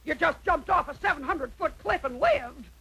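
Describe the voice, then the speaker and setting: Creaky, high-pitched wily magic hen. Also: female voice, expressive, normal speed, pushed and bright, exaggerated voice. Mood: patient, scheming. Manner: folksy, matter-of-fact. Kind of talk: cartoon voice acting.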